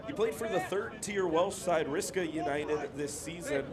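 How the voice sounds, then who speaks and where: A man's voice: on the high side, normal speed. A man from the United States with an American accent, giving live football commentary. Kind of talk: live play-by-play sports commentary. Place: outdoors at a football ground. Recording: outdoors with loud crowd noise. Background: loud crowd.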